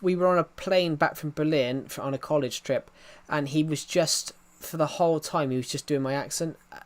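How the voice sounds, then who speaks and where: A young man from the UK with a thick regional British accent, chatting casually on a game stream and restarting his sentences often. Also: medium pitch. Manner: rambling, restarts often, reads chat aloud. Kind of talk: casual live-stream chat.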